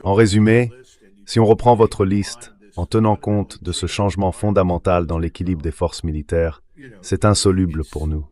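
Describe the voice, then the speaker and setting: A man's voice: deep voice, steady tone, normal speed, mellow, lightly acted. A man's synthetic dubbing voice, speaking as a guest giving translated interview commentary.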